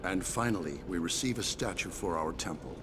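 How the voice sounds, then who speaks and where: An actor playing a solemn, reverent temple priest in a video game, with a measured, ceremonial way of speaking. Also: male voice, deep voice, expressive. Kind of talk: formal video game voice acting. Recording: loud game music behind.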